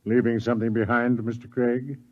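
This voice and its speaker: A man's voice: mid-range voice, flat delivery, brisk, lightly acted. Man acting a needling bureaucrat interrogator, vintage radio. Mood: needling, condescending. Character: smooth, needling government control-board interrogator, condescending. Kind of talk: 1950s radio drama acting. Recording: dull 1950s radio recording.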